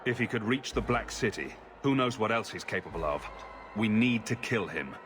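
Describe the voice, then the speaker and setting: A man's voice: on the low side, moderate pace, music in the background, close to an everyday voice. A man playing a resolute, plain-spoken adventurer hero in fantasy video game dialogue, direct and firm. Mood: defiant.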